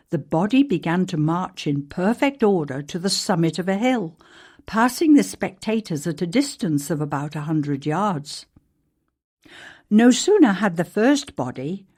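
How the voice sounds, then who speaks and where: Woman w a fairly low voice reading out some old timey ghost story, formal and measured. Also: a little mellow. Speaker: actor reading a historical newspaper article as a ghost story. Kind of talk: scripted narration of an archaic newspaper account.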